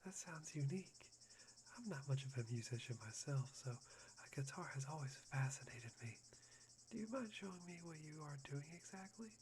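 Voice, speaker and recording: A man playing a soft-spoken, eerily polite young man obsessed with hope, musing breathily in an ASMR roleplay. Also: on the high side, expressive, moderate pace. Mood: polite curiosity. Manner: breathy, polite, musing, often near whispering.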